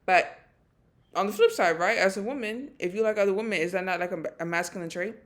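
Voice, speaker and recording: A woman's voice: mid-range voice, fast talker. A woman co-hosting a casual reaction chat, laid-back and slangy, often checking in with "you know what I mean". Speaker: co-host.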